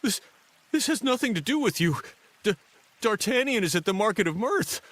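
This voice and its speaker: An actor playing a timid, slow-witted henchman in a video game, hesitant and stammering. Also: high voice, big pitch swings, fairly bright tone, no echo, heavily acted. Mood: nervous. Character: timid dim-witted nervous male henchman. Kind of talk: theatrical game cutscene voice acting.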